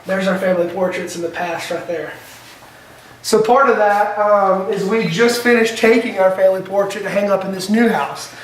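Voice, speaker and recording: A man's voice: high-pitched, moderate pace, a little hiss or hum, rough recording. A man, giving a house tour in a casual video submission. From Alabama. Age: 20s. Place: outdoors and in a house.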